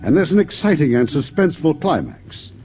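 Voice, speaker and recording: A man hosting a 1950s radio theater show with a smooth, announcer-like delivery. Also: fairly high pitch, very animated, brisk, mellow, a little hiss or hum. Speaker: radio host. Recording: dull old radio broadcast.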